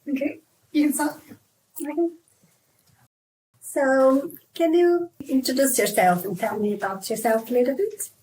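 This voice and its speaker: A woman interviewing people, asking gentle prompting questions. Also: high-pitched, unhurried, pauses often, a little hiss or hum, low-quality recording. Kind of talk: casual interview.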